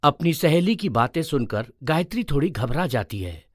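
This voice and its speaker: A man's voice: a little high, sing-song, moderate pace, no echo, studio quality. A man narrating a scripted moral story as its storyteller.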